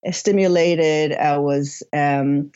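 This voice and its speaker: A woman's voice: low voice, fairly even intonation, takes it slowly, warm tone, clear, resonant tone, muffled recording. A woman in her fifties from Israel with a slight Israeli accent, a podcast guest who tells her story reflectively, with restarts and fillers. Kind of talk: podcast interview.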